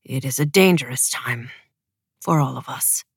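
A woman voicing a stern, wary middle-aged military father in an audiobook, speaking in a guarded, measured mutter. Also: rumbling low, creaky, breathy edge, no echo, studio quality, voice pushed down, exaggerated voice. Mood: grave.

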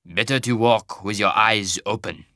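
A man voicing a wide, fearful male wagon master who speaks slowly and gravely in a thick foreign accent. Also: lowish voice, no room sound, clean studio sound. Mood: grave warning. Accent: foreign, thick (put on). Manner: slow, grave, hushed warning.

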